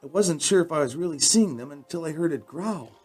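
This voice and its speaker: A man's voice: a little high, lively intonation, a little fast, darkish tone, husky, soft background music. An actor playing a frightened, earnest young night-shift worker, telling his story hesitantly in a radio drama. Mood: haunted.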